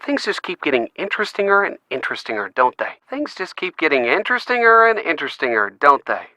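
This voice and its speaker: A playful young American friend from a mystery game, quick with wry asides. The voice is bright and forward, and the pitch swings freely in a lively, conversational way. It is recorded very dry. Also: male voice, dry recording. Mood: wry, amused. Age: young adult. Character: wisecracking, upbeat young friend of a detective. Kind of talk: video game dialogue acting, conversational phone chat.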